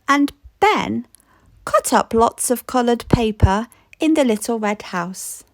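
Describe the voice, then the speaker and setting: A woman reading a children's picture book aloud, slow and expressive with a playful rhythm. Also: a little high, no room sound. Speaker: storybook reader.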